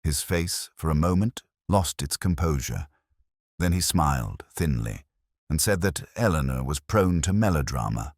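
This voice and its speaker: Very deep-voiced man narrating detective fiction. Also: lively intonation, no room sound, clean studio sound. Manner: measured, brooding, literary phrasing with deliberate pauses. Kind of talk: first-person detective story narration, scripted.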